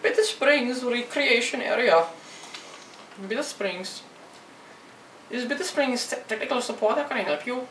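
A man's voice: extremely high pitch, measured pace, pauses often, fairly bright tone. A young man giving live gameplay commentary, swearing and grumbling as he plays. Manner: profane, frustrated outbursts at the game. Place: indoors at a computer. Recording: echoey room, distant mic, noisy.

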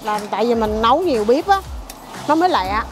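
Middle-aged woman, Southern Vietnamese accent, high bright voice, chatty stall owner reeling off dishes, music under the talk. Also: animated, brisk. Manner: chatty, lists dishes one after another. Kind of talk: casual interview chat. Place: busy indoor market food stall.

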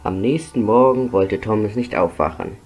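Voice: mid-range voice, moderate pace, darkish tone, natural voice. A performer gives a storybook narrator for a cartoon about engines. The telling is scripted and plain, with music running behind it. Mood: narrating.

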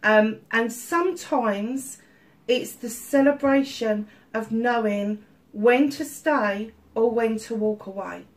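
Slow-spoken woman reading tarot. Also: fairly high pitch. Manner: chatty, warm-hearted asides with many ums. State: slightly stuffy-nosed from illness. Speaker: tarot reader. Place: home room at a table of crystals.

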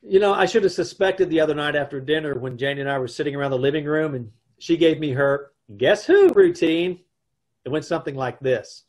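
A man's voice: on the high side, lively intonation, brisk. A middle-aged man playing a wry, exasperated husband who wisecracks about his troubles in an old-time radio comedy. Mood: wry, narrating. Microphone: video call.

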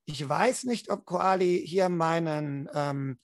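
A young man with a soft, calm voice, explaining game rules as storyteller in a measured, casual way. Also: fairly high pitch, no room sound, studio quality. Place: room at home, on a video call.

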